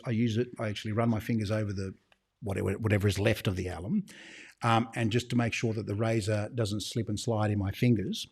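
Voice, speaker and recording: A man's voice: lowish voice, a little mellow. An Australian man who runs a shop, hosting a casual livestream Q&A. He rambles and drops joking, conspiratorial asides. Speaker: shop owner hosting a live Q&A.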